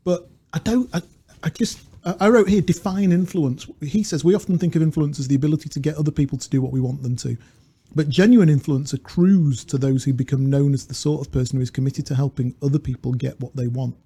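Man, husky, very mellow, slightly high voice, reflective podcast co-host. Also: fast talker. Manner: reflective, storytelling, laughs easily. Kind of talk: casual chat, reading quotes. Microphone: studio mic with pop filter.

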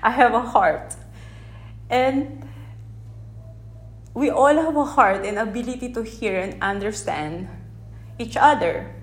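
Filipina woman, tagalog first language, talking slow and soft into her phone, lots of restarts. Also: on the high side. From Philippines. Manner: gentle, searching for words, many restarts. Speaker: podcast host. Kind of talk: unscripted reflective podcast monologue. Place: unedited setting with background sound. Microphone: phone held in one hand.

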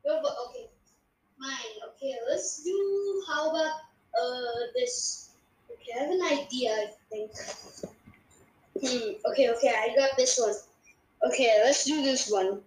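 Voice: sits high, measured pace, long pauses. A young podcast co-host, sounding like a child or young teen, chatting casually and hesitantly in an echoey room. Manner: hesitant, unsure. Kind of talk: casual podcast quiz chat.

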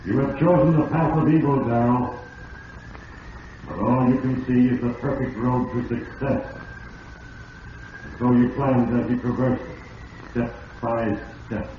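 An actor playing an all-knowing narrator of fate in an old radio drama, grave, deliberate and accusing. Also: male voice, mid-range voice, fairly even intonation, natural voice. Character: ominous all-knowing narrator addressing the wrongdoer. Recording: muffled, distant, echoing, noisy vintage radio recording.

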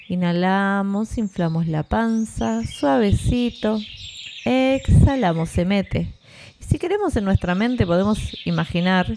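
A woman with a Rioplatense Spanish accent guides a relaxation meditation as a yoga instructor, in a calm, unhurried, soothing manner. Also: medium pitch, creaky, slight background noise.

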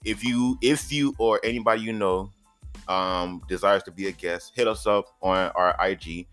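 A man's voice: lowish voice, steady tone, normal speed, fairly bright tone, soft background music. A man co-hosting a casual podcast, easygoing and rambling with many ums. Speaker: podcast co-host and clothing brand owner.

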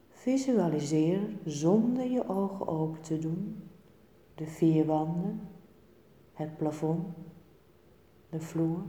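A woman teaching yoga nidra, giving guided relaxation instructions in a calm, soothing, measured way with pauses between short phrases. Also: low-pitched. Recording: echoey room with long reverb.

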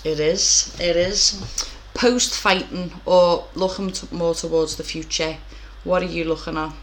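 Low-voiced chatty woman. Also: steady tone, unhurried, rough recording. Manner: blunt, swearing, chatty. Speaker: interviewer, professional boxer. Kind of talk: casual chat.

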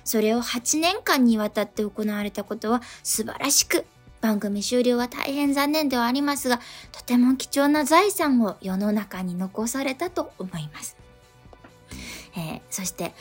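A woman's voice: on the high side, normal speed. A woman co-hosting a radio show, politely reading listener letters aloud and chatting. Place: radio studio. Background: music playing behind the voice.

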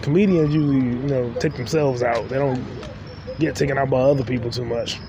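A man's voice: medium pitch, fairly even intonation, a little fast, dull audio, a crowd in the background. A young man hosting a podcast, riffing loosely in a rambling, joking solo monologue while walking outdoors. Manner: loose, joking, talks to himself. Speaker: podcast host. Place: outdoors on city streets. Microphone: phone recording while walking. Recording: street traffic noise behind.

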